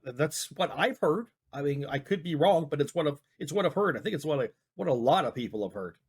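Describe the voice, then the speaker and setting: A man talks his way through a reaction video, with a voice that sits slightly high. He rambles, hedges and restarts his sentences often. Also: lively intonation, brisk, slightly rough. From Canada. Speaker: YouTube commentator. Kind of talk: casual reaction commentary.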